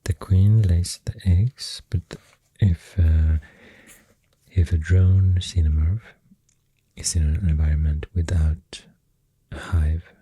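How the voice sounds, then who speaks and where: Breathy, husky Swedish-accented ASMR voice, very close. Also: low-pitched, fairly even intonation, dark tone, no room sound. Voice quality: breathy, husky, often slipping into whisper. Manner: slow, hesitant, pausing between phrases. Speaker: ASMR creator. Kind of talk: soft-spoken ASMR rambling while drawing. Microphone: close microphone.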